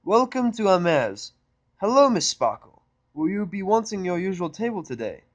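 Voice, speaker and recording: A man voicing a cheery male pony restaurant greeter with a crisp, formal manner. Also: sits high, big pitch swings, normal speed, voice pushed up. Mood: cheery, courteous.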